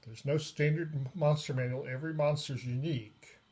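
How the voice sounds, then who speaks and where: A man giving a casual, unscripted review of a tabletop game book, pausing often mid-sentence. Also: on the high side, fairly even intonation, darkish tone. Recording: noisy recording with clicks.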